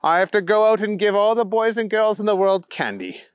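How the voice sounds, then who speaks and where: Man playing a high-pitched, indignant, pompous storybook bunny. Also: animated, a little fast, clear, resonant tone, dry recording, dull audio. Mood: insistent, aggrieved. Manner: prim, formal, lecturing. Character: indignant, fussy, pompous talking Easter Bunny, male. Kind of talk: children's storytelling character voice.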